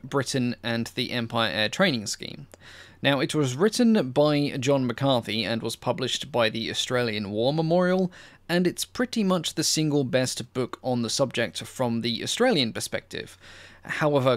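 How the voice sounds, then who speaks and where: A young man hosting a history channel Q&A, explaining military aviation in a measured, informative way with casual asides. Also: mid-range voice, fairly bright tone, no echo.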